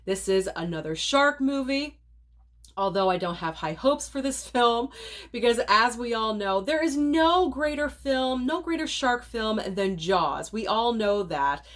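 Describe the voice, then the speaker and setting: A woman's voice: a little high, expressive, unhurried. Sick woman host, nasal, casual and opinionated, talking straight to viewers. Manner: chatty, opinionated, direct to viewers. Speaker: movie channel host. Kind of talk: casual movie rundown vlog.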